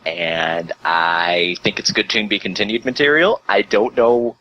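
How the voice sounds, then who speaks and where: Low-voiced man rambling casually, bright edge. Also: measured pace, lo-fi sound. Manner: profane, rambling, uses the word like heavily. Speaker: podcast guest. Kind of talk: casual anecdote-telling.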